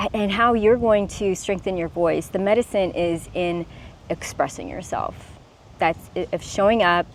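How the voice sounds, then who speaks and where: A woman's voice: lowish voice, a little hiss or hum. A young woman speaking to camera as a self-expression coach, earnest and encouraging, sometimes restarting her words.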